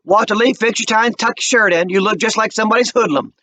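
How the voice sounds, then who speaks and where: A man's voice: high-pitched, fast talker, sharp tone, voice pushed up. A man reading aloud and voicing an older mother of a family who gives folksy, scolding orders to everyone. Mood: bustling. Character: older woman, family matriarch, bossy and warm.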